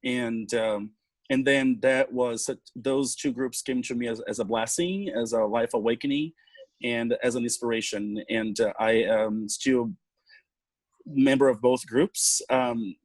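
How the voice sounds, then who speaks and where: A man from Brazil with a Brazilian accent, a native Portuguese speaker, telling a personal story on a panel in a halting way with pauses and repeated words. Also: mid-range voice, dry recording, rough recording. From Salvador, Bahia, Brazil. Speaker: panel guest, drummer in community bands.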